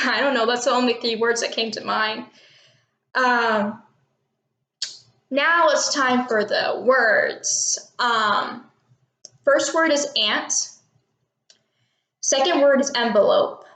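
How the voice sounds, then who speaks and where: A young woman of eighteen from Texas, casual and a little unsure, filling gaps with ums as she answers questions for her viewers. Her voice sits a bit high. The room around her echoes. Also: takes it slowly, long pauses, sharp tone. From Texas, United States. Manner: chatty and unsure, many ums and little laughs. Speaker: vlogger doing an accent tag. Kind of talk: casual talk to camera, reading questions and word list.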